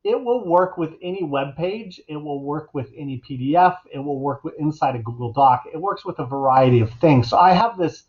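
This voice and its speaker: A middle-aged man giving a casual, instructional software tutorial as an educator at his computer. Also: on the high side, expressive, fairly quick, hardly any pauses. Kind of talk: casual instructional screencast narration. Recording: dull, lo-fi computer mic sound.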